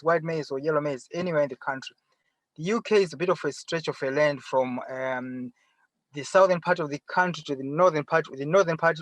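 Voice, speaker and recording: A middle-aged man with a Zimbabwean accent answering interview questions conversationally from inside a car, often pausing and rephrasing. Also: a little high, fairly bright tone, dry recording, poor audio. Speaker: interview guest, farmer. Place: sitting in a parked car.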